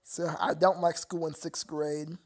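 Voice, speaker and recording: A man's voice: fairly high pitch, level tone, measured pace. A young man chatting casually with viewers on his live stream, rambling and stammering with frequent laughter.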